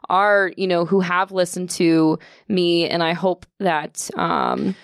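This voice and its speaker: Low-voiced woman podcast co-host. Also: takes it slowly, clear, resonant tone, no room sound, studio quality. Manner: low, sultry-sounding voice, relaxed and sincere. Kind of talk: casual chat. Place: podcast studio.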